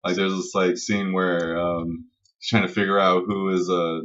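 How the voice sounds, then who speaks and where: A man's voice: low voice, little pitch movement, moderate pace, fairly bright tone. A man co-hosting a casual geek-culture podcast, enthusiastic and rambling, swearing freely as he talks. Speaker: podcast co-host. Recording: dull lo-fi audio, distant from mic.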